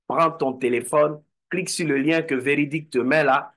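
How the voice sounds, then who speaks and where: A man's voice: a little high, fairly even intonation, moderate pace, a bit bright. A man giving a motivational talk to an online meeting, exhorting and repeating phrases. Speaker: network marketing speaker.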